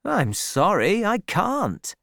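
A curt army sergeant , voiced by a female storybook reader, answers firmly and evasively. The pitch sits somewhat above plain narration, with a brisk, placating manner and a dry, close sound. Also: wildly swooping pitch, creaky, a bit bright, no room sound, exaggerated voice. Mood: apologetic.

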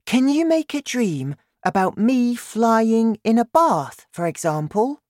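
A woman voicing an excitable, determined little girl in a children's story, speaking in an eager, dramatic way. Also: fairly high pitch, slow pace, no echo, studio quality, pitched above the performer's own voice. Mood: eager, curious.

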